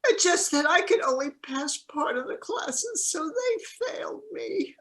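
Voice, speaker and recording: A woman's voice: very high-pitched, lively intonation, a little slow, fairly bright tone, exaggerated voice. A woman acting as a naive, nervous fledgling seagull in an amateur virtual play, earnest and wide-eyed. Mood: panicked.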